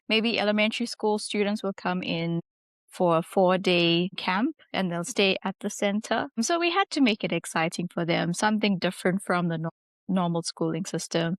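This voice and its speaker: A young South African woman speaking in a casual interview, chatty and relaxed, leaning on fillers as she talks. Her voice sits at a middle pitch and the sound is dry and close, with no room echo. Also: moderate pace. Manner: chatty, laughs easily, many "like" fillers. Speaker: guest, English teacher.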